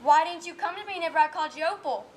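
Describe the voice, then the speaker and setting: A woman's voice: very high voice, lively intonation, very fast. A young woman playing a worried, scolding townswoman in a school stage play. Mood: frantic.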